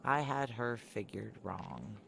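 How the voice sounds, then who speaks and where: A woman's voice: very deep voice, slow pace, vocal fry, fairly bright tone, slight background noise, exaggerated voice. A woman playing a guarded, brooding woman on the run who narrates a noir audio drama in a terse, wry, confiding way.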